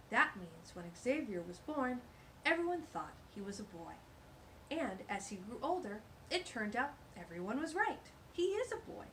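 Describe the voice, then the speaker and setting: A woman's voice: a little high, a little hiss or hum, low-quality recording. Bubbly, sing-song storytime woman. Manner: gushing, exclamatory asides. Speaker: children's storytime host. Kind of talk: reading a picture book aloud to children.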